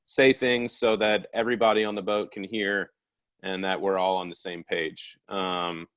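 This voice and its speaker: A man's voice: low voice, flat delivery, normal speed, clean tone, dry recording. A man who works the pit on a racing sailboat, introducing himself and explaining his role in a friendly, slightly hesitant way. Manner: friendly, a bit hesitant, says he is loud. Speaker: sailing crew pit person. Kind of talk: webinar explanation. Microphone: video call.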